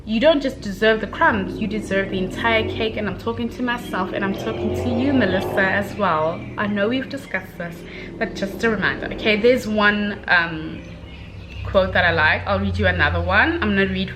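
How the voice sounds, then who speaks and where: A woman giving a casual book review in an expressive, slangy way, talking to viewers like girlfriends with emphatic check-ins. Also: medium pitch, normal speed, music in the background.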